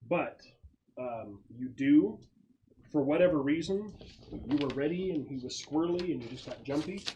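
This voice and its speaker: A man's voice: fairly high pitch, lively intonation, moderate pace, dark tone, lo-fi sound. Man game master, noticeable background noise. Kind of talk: narrating and refereeing a tabletop roleplaying game.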